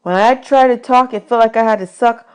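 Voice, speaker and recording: A woman's voice: fairly high pitch, brisk, darkish tone, smooth. A woman narrating a children's novel as a read-aloud audiobook. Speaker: audiobook reader.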